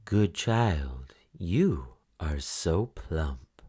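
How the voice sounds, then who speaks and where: A man voicing a sly old wolf who poses as a grandmother, with a slow, wheedling, drawn-out delivery. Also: low-pitched, lively intonation, dark tone, breathy edge, dry recording, studio quality, exaggerated voice.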